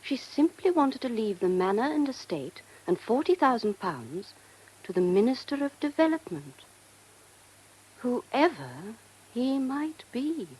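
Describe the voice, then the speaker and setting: An actress playing an animated, indignant woman in a British radio drama, speaking emphatically with a husky voice. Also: a little high, measured pace, heavily acted, poor audio. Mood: incredulous, emphatic.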